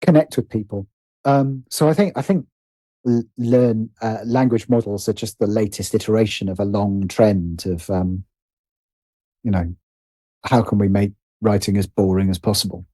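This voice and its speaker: Mellow man thinking aloud. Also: fairly low pitch, no echo. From Britain. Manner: animated, halting, restarts and repeats phrases as he thinks aloud. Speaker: interview guest, writer and producer. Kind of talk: casual conversational interview.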